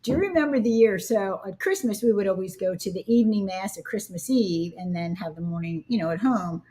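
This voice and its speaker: A woman's voice: mid-range voice, expressive, fairly quick, dark tone, rough recording. A woman chatting casually while cooking, telling family stories and laughing easily. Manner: giggly, storytelling.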